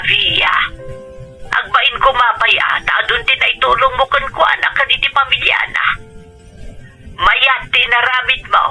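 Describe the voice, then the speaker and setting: A man's voice: high-pitched, sharp tone, exaggerated voice, poor audio. A man playing a loving, reassuring mother of grown children in a family drama. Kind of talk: sentimental drama phone dialogue.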